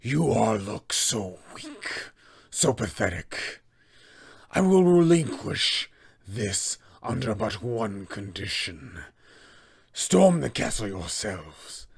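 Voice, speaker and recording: A man's voice: fairly bright tone, full character voice. A man voicing a vengeful undead male paladin who speaks in a grim, menacing tone. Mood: scornful. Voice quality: gravelly, deep and slow.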